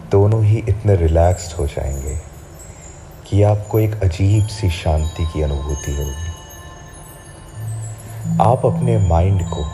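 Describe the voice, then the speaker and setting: A man guiding listeners through a sleep hypnosis session, speaking slowly and softly to soothe them. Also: deep voice. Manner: slow, soft, soothing guidance. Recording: music playing behind the voice.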